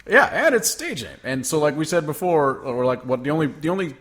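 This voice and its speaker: A man's voice: fairly high pitch, very animated, a little fast, fairly bright tone. A man co-hosting a casual podcast chat, fired-up and ranting, swearing freely. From Northern US. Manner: fired-up, swears a lot, rants. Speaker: podcast co-host.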